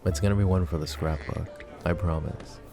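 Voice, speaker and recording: Man acting a low, gravelly flirty mafia boss. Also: steady tone, moderate pace, background noise. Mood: coaxing, affectionate. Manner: intimate, teasing, casual, addressing the listener directly. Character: flirty, possessive mafia boss, playful lover to a detective. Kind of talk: ASMR roleplay acting.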